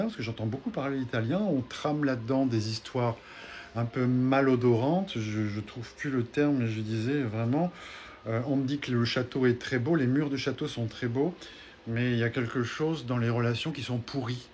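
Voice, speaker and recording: A man's voice: medium pitch, fairly quick, a little mellow, a little hiss or hum. Man telling a healing story, many fillers. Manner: hesitant, many fillers and restarts. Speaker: soul healer sharing a session. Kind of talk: casual spoken testimony.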